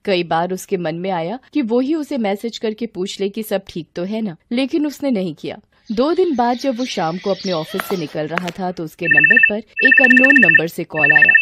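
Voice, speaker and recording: A woman reads a scripted story as narrator. Her intonation is animated and rises and falls widely. The recording has clear background noise. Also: mid-range voice, normal speed, few pauses.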